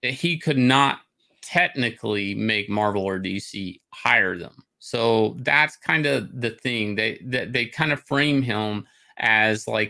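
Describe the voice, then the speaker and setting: Gravelly man giving casual commentary. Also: mid-range voice, bright, forceful tone. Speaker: host of a comics talk show. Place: indoor room, on a video call.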